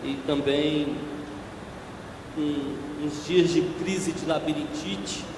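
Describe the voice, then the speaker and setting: A hoarse man preaching a church sermon, slow and emphatic, growing more forceful as he goes. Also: a little high, background noise. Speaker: evangelist preacher. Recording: echoey church with long reverb.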